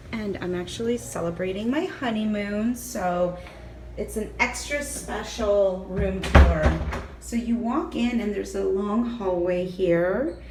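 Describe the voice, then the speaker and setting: Hoarse young woman touring a hotel room on her phone, super excited, lots of ums, a bit echoey. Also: mid-range voice, background noise. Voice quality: raspy. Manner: bubbly, excited, chatty with fillers. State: slightly hoarse, lost voice. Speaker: travel vlogger. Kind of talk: casual hotel room tour. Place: hotel room and ground-floor patio. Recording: roomy echo, faint ambience.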